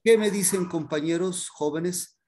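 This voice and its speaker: A man teaching a music history class in an informal, halting explanatory way, often checking in with his students. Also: high-pitched, noisy background.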